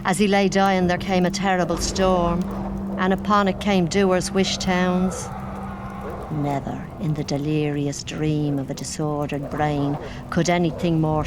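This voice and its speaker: Grim manor servant, audio drama, spooky grave storyteller, noticeable background noise. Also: female voice, deep voice. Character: grim female manor servant telling dark local legends. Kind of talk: gothic audio drama acting.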